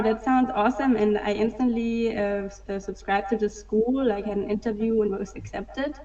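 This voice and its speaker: Woman, distant and muffled. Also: mid-range voice. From Germany. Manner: hesitant, many "um" fillers, soft laughs. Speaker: guest, digital fashion artist. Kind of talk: casual interview answers. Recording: distant, dull sound.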